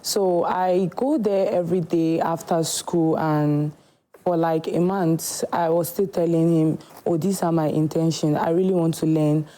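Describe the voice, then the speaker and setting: A woman from Nigeria, a shoemaker guest telling her story in a TV studio interview, earnest and unhurried. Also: deep voice, fairly even intonation, mellow.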